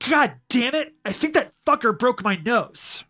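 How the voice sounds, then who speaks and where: A man's voice: very high voice, animated, unhurried, bright, forceful tone, voice pushed up, heavily acted. A man voicing a sneering, foul-mouthed teenage girl ninja villain with a mocking, abrasive manner in a story reading. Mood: furious.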